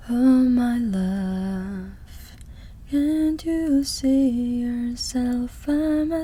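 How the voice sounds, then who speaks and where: A woman doing ASMR, singing softly and gently in a breathy voice that often drops into a whisper. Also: high voice, a little hiss or hum. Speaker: ASMR performer.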